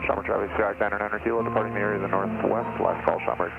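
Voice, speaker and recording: A phone-thin radio carries a man's clipped traffic calls. The voice is fairly low and gravelly, delivered extremely fast, with music behind. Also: fairly even intonation, narrow, tinny audio.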